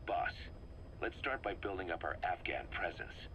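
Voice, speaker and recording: A man's voice: sits low, sing-song, moderate pace, background noise. An actor playing a bitter middle-aged mercenary commander in a video game, briefing his boss in a terse, intense way. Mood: resolute. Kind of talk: dramatic video game acting, expository briefing.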